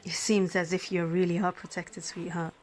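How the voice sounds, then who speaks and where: A woman's voice: lowish voice, level tone, moderate pace, darkish tone, slight background noise, lightly acted. Tender, soothing woman doctor acted for ASMR roleplay. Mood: shy, affectionate. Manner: tender, soothing, flustered, dropping into breathy whispers. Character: caring, smitten female emergency physician tending a crash patient. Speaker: roleplay voice performer. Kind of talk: ASMR roleplay acting, intimate one-sided dialogue.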